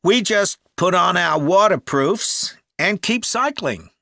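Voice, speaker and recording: A man's voice: high voice, animated, gravelly, pushed and bright, dry recording, clean studio sound, exaggerated voice. A man playing a helpful, upbeat camp manager explaining things to a caller in an acted listening-test dialogue. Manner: informative, measured, upbeat. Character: helpful, upbeat male cycle camp manager taking a call.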